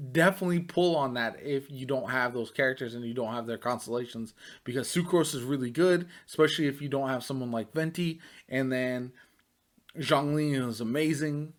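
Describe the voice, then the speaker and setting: A man giving casual, off-the-cuff video game commentary in a loose, rambling way, as a gaming YouTuber. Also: medium pitch.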